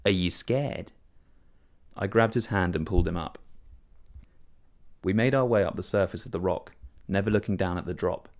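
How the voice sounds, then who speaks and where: A man's voice: low voice, brisk, long pauses. A man reading a first-person literary short story as scripted narration.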